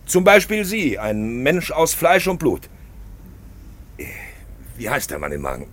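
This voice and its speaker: A man acting a pensive, insistent narrator figure in a 1950s radio play, declaiming and asking probing questions with hesitant pauses. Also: mid-range voice, wildly swooping pitch, fairly bright tone, full character voice. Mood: urgent. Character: pensive, insistent male narrator figure who stages the action. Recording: 1950s radio drama recording.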